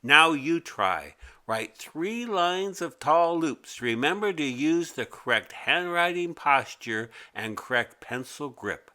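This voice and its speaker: Man acting a loud exasperated male schoolteacher. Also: on the high side, lively intonation, slow, hardly any pauses, pitched above the performer's own voice, exaggerated voice. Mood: instructing. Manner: scolding, emphatic. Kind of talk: children's storybook character voice.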